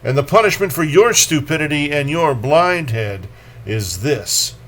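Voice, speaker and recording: A man voicing a tiny, hysterical dead woman in a recited poem, taunting and defiant in a drawn-out declamation. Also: on the high side, animated, fairly bright tone, slight background noise. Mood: mocking, defiant. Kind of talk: dramatic poetry recitation.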